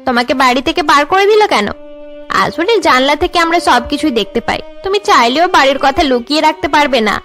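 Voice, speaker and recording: Nosy neighbour for cartoon drama: high-pitched, blunt, gossipy, music behind. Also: female voice, lively intonation, a little fast, a bit bright. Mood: nosy, chiding. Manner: nosy, chiding. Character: gossipy, blunt neighbour woman giving advice. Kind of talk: cartoon family melodrama acting.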